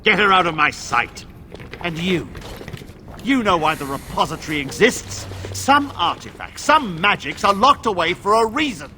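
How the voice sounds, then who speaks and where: A man's voice: sits high, lively intonation, normal speed, background noise. A man playing a wrathful templar knight commander in a fantasy video game, harsh, formal and commanding. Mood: angry.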